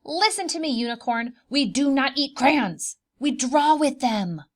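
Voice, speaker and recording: A woman voicing an excitable, emphatic young girl from a children's picture book. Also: high voice, a little slow. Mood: scolding. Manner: emphatic, dramatic storybook child. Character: excitable young girl telling about her pet unicorn.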